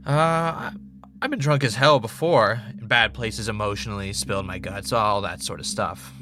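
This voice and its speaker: A man's voice: on the low side, moderate pace, bright, forceful tone. A man playing an anxious, sarcastic investigator in an audio drama. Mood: troubled, earnest.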